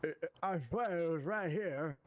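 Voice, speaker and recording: Self-important, bumbling haulage salesman for game roleplay, played by a man; slow delivery, raised pitch, earnest and repetitive. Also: lightly acted. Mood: flustered. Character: bumbling, self-important male trucker hawking big business.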